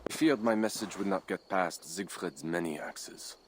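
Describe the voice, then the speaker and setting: A man's voice: low voice, normal speed, noisy background, natural voice. A man playing a proud, ambitious Frankish count, formal and solemn, in a dramatic video game. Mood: grave, wary.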